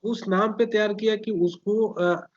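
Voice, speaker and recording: A man with an Indian accent explaining political ideas conversationally as an interview guest, often halting and repeating phrases as he searches for words. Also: sits high, steady tone, highs cut off.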